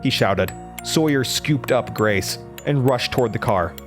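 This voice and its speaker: A man's voice: medium pitch, unhurried, natural voice. A man playing a shaken young college student, narrating a horror audio drama in a dramatic, haunted way that stammers under stress. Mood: dread. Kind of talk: horror audio drama first-person narration, acting out dialogue. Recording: music bed under the voice. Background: music.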